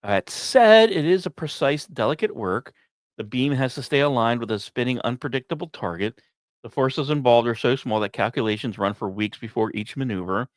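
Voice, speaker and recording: Male podcast host, mid-pitched and very animated, emphatic scripted science commentary, dry recording. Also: normal speed, no echo.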